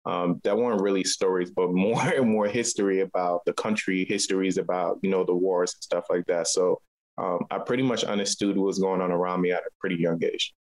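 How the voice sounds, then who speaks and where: A man's voice: low-pitched, steady tone, a little fast, a little mellow, low-quality recording. A man from Liberia, a local artist, answering interview questions in a conversational way. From Liberia, West Africa.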